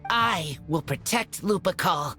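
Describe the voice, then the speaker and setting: A woman voicing an earnest, loyal boy raised by wolves in a video game, with halting, simple speech. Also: on the low side, lively intonation, music in the background. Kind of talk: video game character acting.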